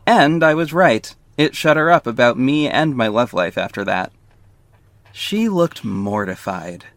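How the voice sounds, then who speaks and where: A man's voice: medium pitch, lively intonation, a little slow, fairly bright tone, dry recording. Man as a wry confiding narrator, close and dry. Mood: snarky, indignant. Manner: confiding, snarky asides. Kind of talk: first-person scripted narration in audio drama.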